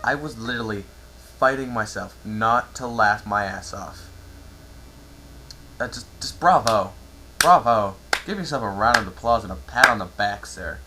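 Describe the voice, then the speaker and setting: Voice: medium pitch, lively intonation, moderate pace, sharp tone, slight background noise, poor audio. An amateur narrator giving a theatrical dramatic reading of fan fiction.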